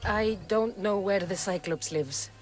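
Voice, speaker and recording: A woman's voice: medium pitch, measured pace, natural voice. A woman playing a tough, sardonic young mercenary in a video game, dry, blunt and impatient. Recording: game music under the voice. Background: game music.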